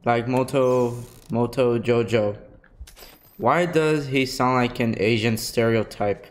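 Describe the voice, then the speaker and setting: A man's voice: mid-range voice, steady tone, slow pace. A young man streaming a game from a room at home, giving casual, rambling, goofy commentary on a headset. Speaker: gaming streamer. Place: small indoor room at home. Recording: faint noise with game sound.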